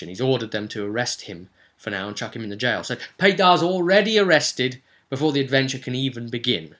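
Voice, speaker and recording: A man casually recapping a tabletop role-playing session as game master, rambling along in a chatty, halting way. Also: fairly low pitch, sharp tone. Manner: chatty, halting, acts out short bits of dialogue.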